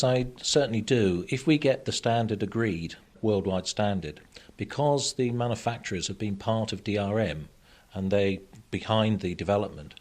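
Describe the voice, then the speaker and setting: Male technical expert, slightly low pitch, measured and factual interview delivery. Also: a little mellow. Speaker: radio technology expert guest. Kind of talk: explanatory interview answers.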